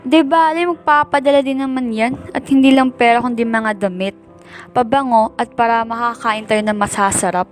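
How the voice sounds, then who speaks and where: Resentful Filipino teen daughter, woman performer; high voice, accusing and whiny, amateur radio drama over music. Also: fairly even intonation, normal speed, lightly acted. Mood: bitter, accusing. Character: resentful, sullen teenage eldest daughter. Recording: background soundtrack under the voice.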